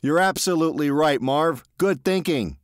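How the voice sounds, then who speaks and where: A man voicing himself as a reckless, cocky male thrill-seeker who talks brashly and excitably. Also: on the high side, moderate pace, clear, resonant tone, no room sound, clean studio sound, voice pushed up, natural voice. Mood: coaxing.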